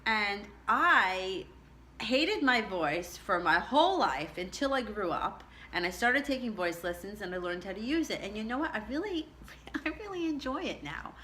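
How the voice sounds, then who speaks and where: Bright-voiced woman telling her own story. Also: sing-song, moderate pace, slight background noise. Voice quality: low contralto singing voice. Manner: chatty, candid, laughs often. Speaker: vlogger. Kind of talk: personal storytelling to camera.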